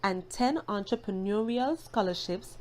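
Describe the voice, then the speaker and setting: Female news presenter, medium pitch, formal scripted narration, faint background noise. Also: measured pace, a little mellow. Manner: formal, careful reading.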